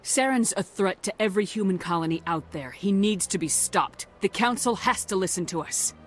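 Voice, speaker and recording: A woman's voice: medium pitch, lively intonation, normal speed, soft background music, lightly acted. A woman playing a resolute military commander in a video game, firm and direct. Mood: firm resolve.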